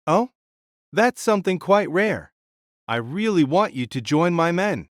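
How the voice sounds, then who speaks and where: Boisterous, booming warrior king. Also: big pitch swings, measured pace, dry recording, clean studio sound. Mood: amused, bold. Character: boisterous, self-assured warrior king spirit, a big man. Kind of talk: dramatised audio fiction acting.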